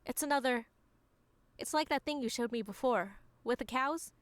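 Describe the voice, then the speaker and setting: A woman's voice: fairly high pitch, moderate pace, lightly acted. A woman playing an anxious, self-doubting but determined young college woman in a comic dub, awkward and questioning herself. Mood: insistent. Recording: long reverb, clear background noise.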